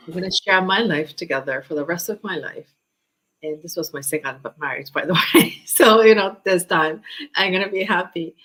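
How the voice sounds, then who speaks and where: A woman in her fifties with a Japanese accent and a bright, forceful, fairly low voice. She shares her own story as an interview guest, informally, often checking for agreement. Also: rough recording. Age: 50s. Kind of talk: personal storytelling in conversation.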